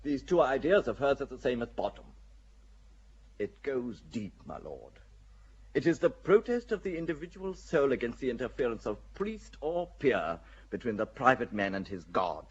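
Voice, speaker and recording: A proud, scheming feudal earl, played by a man in a radio drama, husky-voiced and full of swooping, lively inflection. He speaks with haughty emphasis and a sardonic edge, and the audio is dull and lo-fi. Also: medium pitch, moderate pace.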